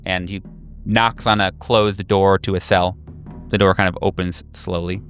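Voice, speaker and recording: Male game master, lowish voice, improvised horror narration with searching pauses, over background music. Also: level tone, fairly bright tone. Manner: halting, searching for words. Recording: music behind. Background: clear background music.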